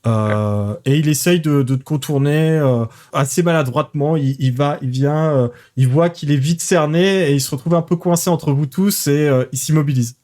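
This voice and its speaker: A man narrating a tabletop role-playing game as game master, pausing often with fillers. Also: fairly high pitch, warm tone. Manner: hesitant, many fillers.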